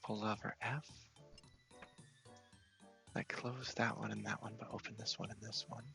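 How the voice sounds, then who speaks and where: Low, gravelly, half-whispering man. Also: flat delivery, bright, forceful tone, soft background music. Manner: unhurried, murmuring to himself, reads game text aloud. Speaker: game streamer, video host. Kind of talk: ASMR game playthrough commentary.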